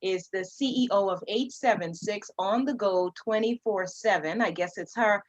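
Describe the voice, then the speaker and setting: An upbeat woman moderates an online summit and introduces each speaker warmly. Her mid-pitched voice comes through dull and lo-fi over a video call. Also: normal speed. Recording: dull lo-fi audio.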